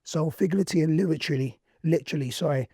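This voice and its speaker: A man hosting a casual interview podcast, his voice hoarse and nearly gone, talking in excitable slang. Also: a little high, rapid-fire, dry recording, clean studio sound. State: losing his voice. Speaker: podcast host.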